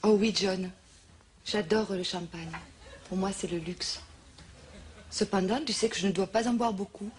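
A woman's voice: mid-range voice, fairly even intonation, moderate pace, long pauses, a little hiss or hum, natural voice. A woman playing a naive, gushing seductive lover in a parody erotic television trailer, with stilted romantic delivery. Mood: gushing, coy. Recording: loud music under archive TV clip. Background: music.

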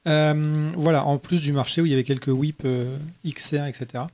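A man hosting a podcast, giving a casual spoken report in halting, hesitant phrases. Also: a little high, fairly even intonation, darkish tone. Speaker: podcast host, festival reporter.

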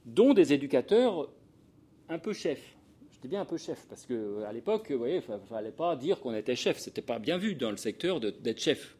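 A man's voice: medium pitch, sing-song, normal speed, mellow, slightly rough. A man in his sixties from the Paris region giving an informal lecture with personal anecdotes, often breaking off, repeating words and circling back as he goes. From Paris region, France. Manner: hesitant, digressive delivery with self-interruptions and repeated words. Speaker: sociologist giving a talk to social work professionals.

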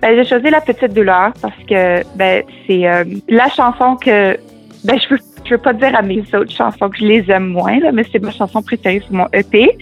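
A young woman from Saskatchewan with a Fransaskois French accent, a singer-songwriter answering interview questions earnestly and thoughtfully, slipping in English words. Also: mid-range voice. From Saskatchewan, Canada. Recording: tinny phone-like line, faint music.

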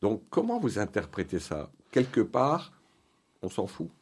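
A man hosting an interview in an informal, conversational way, halting and rephrasing as he goes. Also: lowish voice, huge pitch swings, a little mellow, slightly rough. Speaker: interview host.

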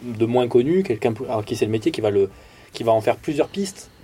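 Casting: male musician guest, mellow tone, hesitant and halting. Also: mid-range voice, lively intonation, slight background noise. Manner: hesitant, restarts often with many fillers. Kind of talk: casual interview.

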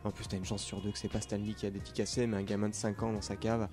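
A man's voice: on the low side, level tone, quick pace, over music. A man chatting casually on a podcast, talking with many fillers and restarts. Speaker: podcast co-host, comics shop worker. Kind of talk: casual chat.

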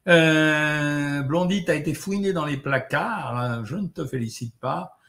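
Chatty French doctor, slightly high voice. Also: male voice, measured pace. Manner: relaxed, chatty, lots of "euh" and "hein". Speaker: doctor answering viewer questions. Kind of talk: casual live Q&A, reading out comments.

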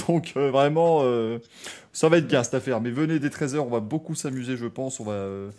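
A man's voice: mid-range voice, normal speed, a little mellow. A man who grew up in Belgium, hosting a casual motorsport stream and signing off with thanks, laughing and rambling. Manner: laughing, rambling, self-mocking. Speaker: host.

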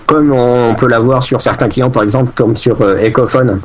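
A man's voice: medium pitch, fairly even intonation, normal speed. A man co-hosting a casual tech podcast, explaining news in a rambling way with many fillers and restarts. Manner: jokey, fake accents for laughs.

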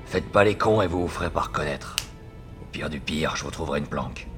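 A man playing a calm, commanding gang leader in an audio drama, matter-of-fact and slangy. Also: rumbling low, brisk, faint music behind.

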